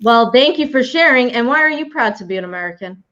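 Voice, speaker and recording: A woman's voice: fairly high pitch, animated, quick pace, fairly bright tone. A woman hosting a live online game show in an upbeat, friendly way, over a video call. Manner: upbeat, friendly, laughs easily. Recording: muffled lo-fi call audio.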